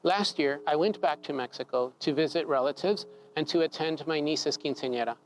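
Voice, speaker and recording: A man calmly telling a personal story, measured and reflective. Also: a little high, a little hiss or hum, low-quality recording. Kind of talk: personal story told live.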